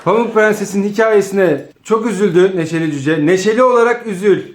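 Man, high voice, sketch director, casual commands, playful, bossy, echoey room. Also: fairly quick. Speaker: director of improvised comedy sketch. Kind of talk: giving stage directions, casual. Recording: echoey room with faint noise.

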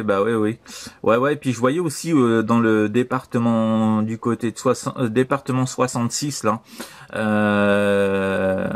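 French man chatting on amateur radio. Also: on the low side. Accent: French, from France. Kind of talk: casual radio contact chat. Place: voice over CB shortwave radio link. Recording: radio transceiver audio.

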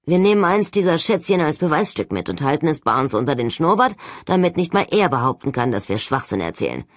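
A narrator voicing an argumentative, sceptical young male ghost hunter who pushes back with forceful sarcasm in an audiobook. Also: medium pitch, very animated, a little fast, dry recording, clean studio sound, close to an everyday voice. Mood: insistent.

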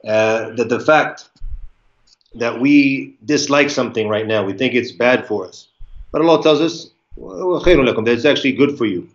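An American man in his forties speaks over a webcam from a room with a plain wall. He talks fast and restarts often, mixing Arabic religious phrases into casual English. The call audio is muffled and lo-fi. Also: mid-range voice. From United States (Virginia). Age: 40s. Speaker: guest speaker, Islamic lecturer. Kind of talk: live online interview. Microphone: video call webcam.

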